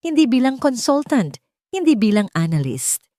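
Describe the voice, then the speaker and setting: A woman's voice: fairly high pitch, sing-song, normal speed, warm tone, no echo, clean studio sound, pitched above the performer's own voice. A woman voicing a kind, decisive wealthy businessman in a story, assured and earnest. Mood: firm, earnest.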